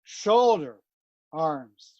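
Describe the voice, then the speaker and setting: Very slow-paced woman calling drill commands. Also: sits low, animated, darkish tone, no echo, dull audio. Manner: clipped commands with long pauses. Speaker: drill caller.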